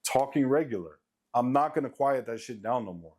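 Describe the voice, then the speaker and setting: A man in his late thirties with a deep voice, giving a blunt, profane motivational rant to his viewers as a vlogger. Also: lively intonation, a little fast, a little mellow. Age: late 30s. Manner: blunt, profane, emphatic repetition. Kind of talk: casual motivational rant to camera.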